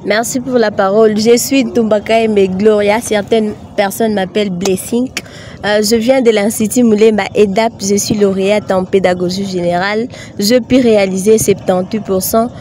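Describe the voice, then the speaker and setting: A woman's voice: medium pitch, steady tone, normal speed, warm tone, slight background noise. A teenage girl, a top exam student, politely introducing herself. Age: late teens.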